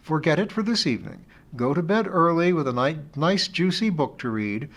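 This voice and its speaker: A man doing a brisk, kindly woman friend. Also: on the high side, expressive, a bit bright, voice pushed up. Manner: brisk and affectionate.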